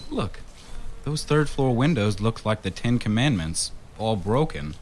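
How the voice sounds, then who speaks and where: An actor playing a curious, wisecracking husband in a radio drama, with a wry and casual manner. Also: male voice, fairly low pitch, big pitch swings, unhurried, slight background noise. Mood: joking. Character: wisecracking, curious husband exploring an old mansion.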